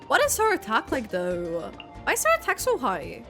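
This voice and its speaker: A woman's voice: sits high, wildly swooping pitch, moderate pace, over music. A woman streamer giving live game reaction commentary, thinking aloud with frequent exclamations.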